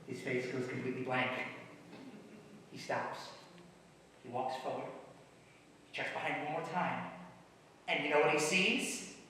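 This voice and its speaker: A man acting an excitable, brash and optimistic screenwriter in a comic stage play, with an animated, emphatic delivery. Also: mid-range voice, unhurried, long pauses. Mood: excited storytelling. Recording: echoey hall, distant mic, faint noise.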